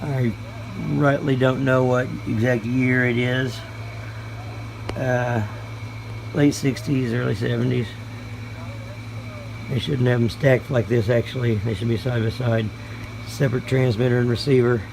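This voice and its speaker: A man doing a casual show-and-tell as a hobbyist presenter, speaking in a hesitant, folksy, halting way. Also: mid-range voice, gravelly. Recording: noisy room with steady background hum.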